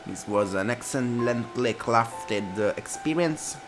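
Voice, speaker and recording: A man's voice: medium pitch, expressive, takes it slowly. A man reading a visual novel aloud and commenting casually as a gaming host, with guitar music behind him. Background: plucked guitar music.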